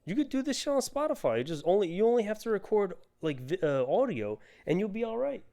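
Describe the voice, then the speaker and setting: Young man, podcast co-host, mellow dark tone, smooth clear voice, rambling casual chat. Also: high-pitched, big pitch swings, quick pace. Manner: loose and rambling, laughs often.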